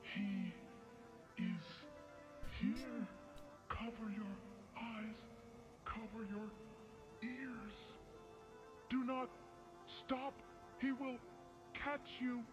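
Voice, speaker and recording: A synthetic voice reading ominous instructions slowly and clipped, one word at a time. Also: medium pitch, steady tone. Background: music.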